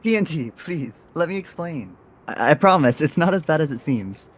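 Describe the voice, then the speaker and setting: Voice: mid-range voice, animated, normal speed, lightly acted. Someone playing a chill apologetic teen boy in an audio roleplay, voice moves around a lot. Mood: pleading. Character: easygoing, apologetic teenage boy graffiti artist.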